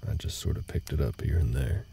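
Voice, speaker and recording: A man playing a gruff, world-weary but gentle cowboy ranch hand in an intimate audio roleplay, speaking in a halting, folksy way. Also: exaggerated voice. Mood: relaxed, wry. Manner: halting, low-key, folksy drawl-like phrasing. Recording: night ambience noise behind the voice.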